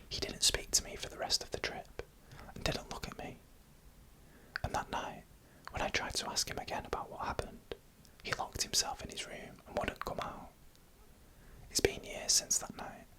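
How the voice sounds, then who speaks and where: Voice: pushed and bright, low-quality recording. Whispered ASMR storyteller, entirely unvoiced. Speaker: narrator.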